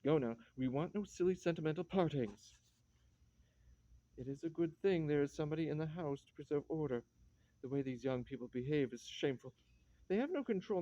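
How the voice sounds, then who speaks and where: A woman's voice: low-pitched, dark tone, no room sound, lo-fi sound. An actress playing a stern, domineering old mother-in-law in a classic stage drama, imperious and disapproving.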